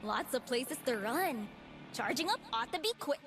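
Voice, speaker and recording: A young electric gunslinger from a video game, high-voiced and lively in pitch. She is eager, scrappy and determined, tossing out short punchy battle lines. Music plays behind her. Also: moderate pace. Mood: upbeat, determined.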